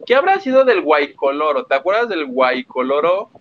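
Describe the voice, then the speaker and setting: A man's voice: on the high side, big pitch swings, normal speed, dry recording. A man with a Mexican accent co-hosting a gossip live stream in a jokey, playful, casual way, on slightly lo-fi audio. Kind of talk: casual joking chat.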